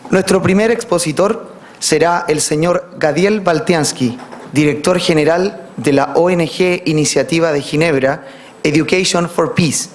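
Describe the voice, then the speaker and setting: Young male emcee, podium mic, formal read, slightly high. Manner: smooth, formal reading. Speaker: master of ceremonies. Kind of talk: scripted announcement. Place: conference hall, at podium. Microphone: podium gooseneck mic.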